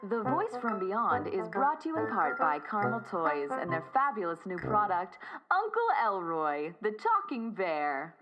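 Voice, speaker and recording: An actress playing a cheery old-time radio advertisement announcer with a polished sales pitch. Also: female voice, very animated, a little slow, hardly any pauses, muffled recording, over music. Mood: upbeat, selling.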